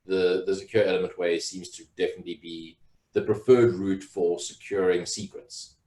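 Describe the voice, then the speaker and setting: A man's voice: low voice, little pitch movement, moderate pace, mellow. A man co-hosting a casual live talk show, telling stories in a rambling way with many fillers. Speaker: podcast co-host. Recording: roomy echo.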